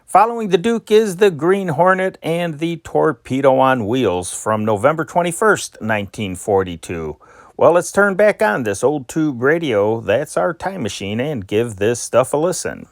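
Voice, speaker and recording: An older man hosting a vintage radio show, narrating calmly with a nostalgic, unhurried manner. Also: fairly high pitch, no echo, studio quality. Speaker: podcast host. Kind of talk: reflective scripted narration.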